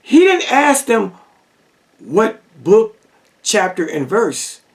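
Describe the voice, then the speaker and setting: A man lectures on religion at a slow, deliberate pace, stacking rhetorical questions and repeating lines for effect. His voice sits high and carries a gravelly edge. He sounds set back from the microphone. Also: wildly swooping pitch, distant mic. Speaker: religious teacher. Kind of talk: lecture-style monologue.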